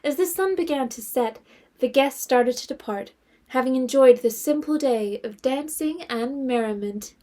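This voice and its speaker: A woman's voice: sits high, moderate pace, dark tone. A woman reading scripted literary narration for an audio drama.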